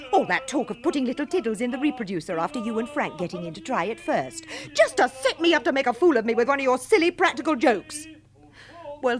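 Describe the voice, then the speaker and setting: Exasperated English wife, high-pitched and scolding. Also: female voice. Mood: furious. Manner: scolding, crisply formal wording. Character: exasperated, sarcastic, bossy wife at her wits' end. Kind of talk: radio drama acting.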